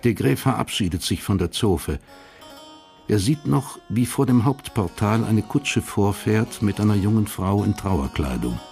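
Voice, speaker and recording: A man narrating a radio crime drama in a measured, literary storytelling manner. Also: lowish voice, animated, creaky, darkish tone, faint music behind.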